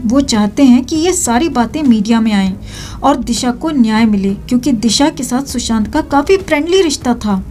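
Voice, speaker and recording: A woman's voice: high voice, darkish tone. A woman with an Indian accent reading scripted news to viewers in an emphatic, sensational manner. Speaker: video news narrator.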